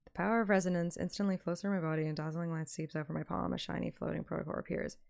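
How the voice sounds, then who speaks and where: A woman's voice: lowish voice, steady tone, very fast, creaky, darkish tone. A woman narrating a first-person fantasy story expressively, in the manner of a homemade audiobook. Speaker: audiobook-style narrator and streamer. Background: music.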